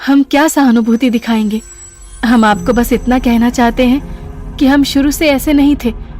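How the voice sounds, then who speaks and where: A woman's voice: fairly high pitch, fairly even intonation, moderate pace, a little mellow, faint music behind, lightly acted. An actress playing a timid, earnest and sympathetic young woman with a pleading manner in an audio drama.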